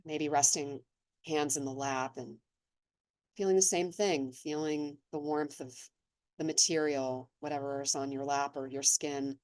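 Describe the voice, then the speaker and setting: A woman slowly and gently leading a guided meditation, speaking in a soothing way. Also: sits low, fairly even intonation, dry recording. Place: seated in an office chair.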